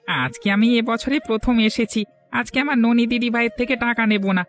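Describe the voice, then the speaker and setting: A man's voice: very high voice, fairly quick, a bit bright. A man voicing a kindly, chatty older village snack hawker in a cartoon. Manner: chatty, genial. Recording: music under the voice.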